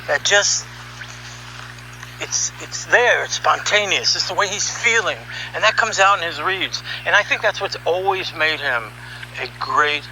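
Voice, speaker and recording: Middle-aged male storyteller, high and very sharp-edged, conversational and emphatic, over faint background hum. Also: low-quality recording. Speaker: narrator, voiceover producer. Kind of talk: conversational first-person storytelling.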